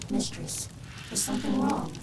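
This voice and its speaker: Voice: moderate pace, lightly acted. An actor voicing a fawning, sinister demon servant in a video game cutscene, with a hissing delivery that is servile yet goading. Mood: sly, reverent.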